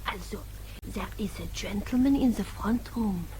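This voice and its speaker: An actress playing a deferential, attentive Austrian housekeeper in a radio drama, speaking halting English with a German accent. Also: female voice, medium pitch, dark tone, a little hiss or hum, lightly acted. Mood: attentive, discreet.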